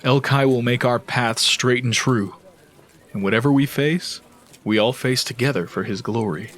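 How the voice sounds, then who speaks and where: Devout, calm sage for fantasy radio play, male actor, mid-pitched, measured, reassuring. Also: lightly acted. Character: calm, wise, devout mentor and seer. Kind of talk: fantasy audio drama acting.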